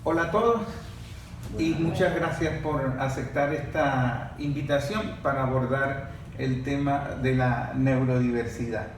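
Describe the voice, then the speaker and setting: A man hosting a podcast interview, explaining ideas in measured, drawn-out phrases. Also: mid-range voice, noisy background. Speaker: podcast host. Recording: roomy echo.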